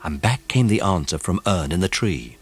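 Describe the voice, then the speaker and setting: A man narrating a children's mystery audiobook in a suspenseful, expressive way. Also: low voice, moderate pace, slightly rough, dry recording.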